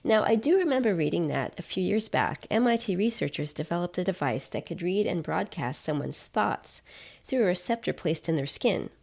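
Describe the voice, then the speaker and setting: A woman's voice: on the low side, very animated, moderate pace, vocal fry, a little mellow. A woman narrating a scripted first-person story as a podcaster, in a wry, conversational way.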